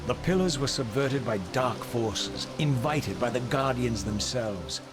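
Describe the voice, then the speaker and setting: An actor playing a sardonic undead vampire wraith who speaks in measured, probing arguments. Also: male voice, medium pitch, expressive, over music, lightly acted. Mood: cold. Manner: measured, sarcastic. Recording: echoey with loud score.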